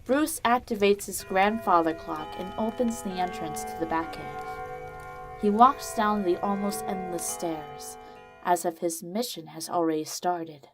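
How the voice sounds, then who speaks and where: A woman's voice: lowish voice, measured pace, over music. A woman reading scripted narration for a dramatic audio story.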